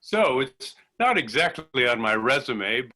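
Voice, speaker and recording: A man's voice: mid-range voice, big pitch swings, measured pace, bright, forceful tone, voice pushed down, exaggerated voice. A man playing an eager, pompous job applicant with an affected fake British accent.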